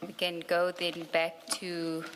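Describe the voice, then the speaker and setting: A woman doing formal questioning at an inquiry, speaking haltingly as she works through documents. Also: fairly low pitch, monotone. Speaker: evidence leader questioning a witness at a commission. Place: large hearing room. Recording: echoey hall, clear background noise.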